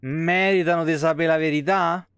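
A man streams a game in a fairly high, smooth voice. He talks colloquially, grumbles and swears freely, recorded close and dry. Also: steady tone, moderate pace, a bit bright, no echo. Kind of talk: casual live game commentary. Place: small indoor room.

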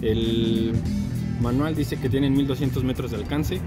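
A man's voice: mid-range voice, steady tone, a little slow. A man with a Mexican accent giving a casual, slangy hands-on tutorial as a motorcycle vlogger. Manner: informal, slangy. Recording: loud music behind the voice.

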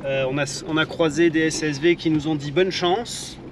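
A man's voice: a little high, moderate pace. A man in his thirties, talking casually with many hesitations in a travel vlog, from the driver's seat and on a forest track. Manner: relaxed, hesitant with many fillers. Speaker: vlogger and 4x4 driver. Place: in a car and outdoors on a forest track. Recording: distant from the mic, noisy outdoors.

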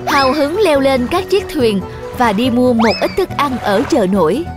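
A woman's voice: sits high, lively intonation, normal speed, music in the background. A woman narrating a children's story in a scripted storybook style.

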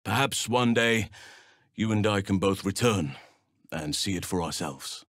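An actor voicing a wise, gentle and grave archdruid in a fantasy video game, with measured, formal and thoughtful phrasing. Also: male voice, sits low, animated, no echo, studio quality. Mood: calm, reflective.

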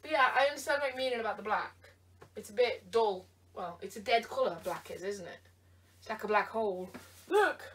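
A woman's voice: mid-range voice, fairly quick, fairly bright tone. A tired woman chatting playfully in a try-on vlog, teasing someone off camera. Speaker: vlogger. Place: bedroom indoors. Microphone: camera across the room. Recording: voice from a distance.

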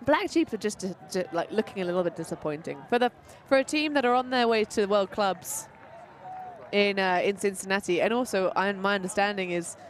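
A woman calls an outdoor match in a relaxed, chatty way, her voice sitting at an ordinary middle pitch. She drifts between play-by-play and playful asides. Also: brisk. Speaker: commentator. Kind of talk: live sports commentary. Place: outdoor tournament field.

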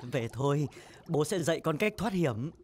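A man voicing a patient, protective father fish in an animated film, speaking in an instructive, reassuring way. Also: fairly high pitch, normal speed, slight background noise, lightly acted. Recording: music under the voice.

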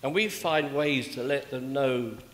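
An older man preaching a sermon in a measured, rhetorical manner, with emphatic pauses and repeated phrases. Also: mid-range voice, fairly bright tone. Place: indoors, small church at a pulpit. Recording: echoey church with long reverb.

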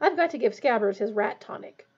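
A woman voicing an excitable, indignant teenage boy in a storybook, loud and exclamatory, with slightly dull sound. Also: a little high, fairly quick, breathy edge. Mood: eager.

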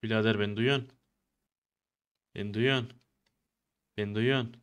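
A man's voice: fairly low pitch, steady tone, moderate pace, smooth. A man bantering in online game roleplay, with a teasing, mock-innocent manner.

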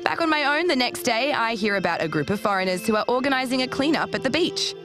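A woman's voice: a little high, expressive, fast talker, over music. A young woman hosting a travel show, presenting and interviewing in an upbeat, chatty way. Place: sunny beach outdoors.

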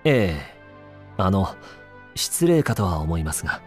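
An actor playing a courteous, inquisitive newspaper reporter in a Japanese video game, a man with a measured way of talking. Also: low-pitched, very animated, soft background music. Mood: courteous, probing. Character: courteous, probing young newspaper reporter interviewing royalty.

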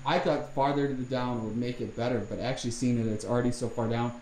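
A man's voice: medium pitch, steady tone, fast talker, darkish tone, reverberant, a little hiss or hum, poor audio. A man casually explaining a jet ski part swap, thinking aloud with lots of fillers, recorded on a camera in his home garage. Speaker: vlogger. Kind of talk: casual how-to vlog.

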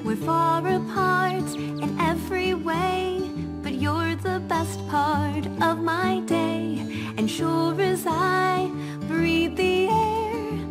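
A woman voicing a sweet, lovestruck young country mare in a cartoon, tender and gentle. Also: very high-pitched, steady tone, extremely slow, full character voice. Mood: tender, singing. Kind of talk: cartoon voice acting, tender acoustic love song. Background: prominent music.

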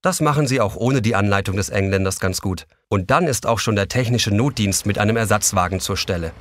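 A man's voice: lowish voice, fairly quick, fairly bright tone. A man narrating a scripted story for children as the narrator.

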